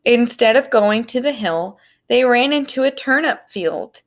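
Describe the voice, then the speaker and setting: A woman reading a children's storybook aloud as narrator, with simple, deliberate phrasing. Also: a little high, lively intonation.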